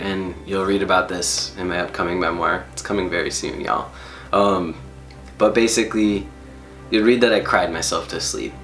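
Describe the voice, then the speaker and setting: A man telling a personal story to an audience, animated and emphatic, laughing as he talks. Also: on the low side. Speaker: storyteller on stage. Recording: roomy hall echo.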